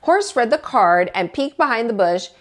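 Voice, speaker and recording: A woman reading a children's picture book aloud as a storytime teacher, in an expressive, deliberate way. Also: on the high side. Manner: animated, deliberate storytelling delivery.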